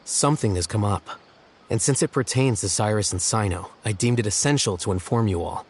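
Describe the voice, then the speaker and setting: A man playing an aloof, scholarly young man in an anime video game, measured, formal and deadpan. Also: lowish voice, slight background noise, natural voice. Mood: matter-of-fact. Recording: long reverb, music behind.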